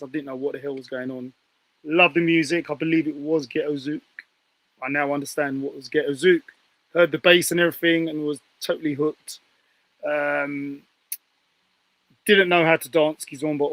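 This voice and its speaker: A man's voice: on the high side, a bit bright, dry recording, rough recording. A man hosting a panel talk show in a chatty style. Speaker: presenter and host.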